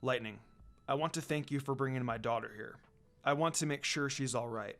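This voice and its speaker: A man voicing a gentle, curious, long-lost father in an anime fan story reading. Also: medium pitch, brisk, creaky, voice pushed down.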